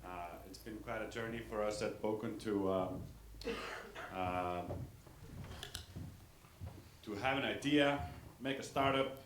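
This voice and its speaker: Low-voiced man, echoey hall. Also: measured pace, long pauses, fairly bright tone. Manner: hesitant, many uh and um fillers. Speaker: company CEO and founder. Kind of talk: conference Q&A. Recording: echoey hall mic with noise.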